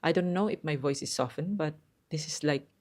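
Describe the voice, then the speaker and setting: A middle-aged woman with a low, hard, tight voice, talking through a numbered list of life advice in an earnest, direct way with loose phrasing. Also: brisk, darkish tone. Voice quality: low, hard and tight voice, mistaken for a man's on the phone. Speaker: self-help vlogger. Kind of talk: casual advice talk, counting down a numbered list. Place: quiet spot at home.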